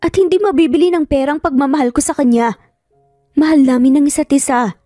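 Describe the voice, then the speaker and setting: A woman acts out a humble young waitress in love in an audio drama. Her fairly high, mellow voice is nervous and polite. She pleads meekly, with music underneath. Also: fairly quick, no echo, studio quality, natural voice. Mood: pleading.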